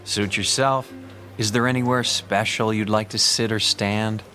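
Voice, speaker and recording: An actor playing a soothing, courteous hypnotist and cult-like leader, coaxing in formal, measured phrases in an audio drama. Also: male voice, fairly low pitch, steady tone, pushed and bright, soft background music.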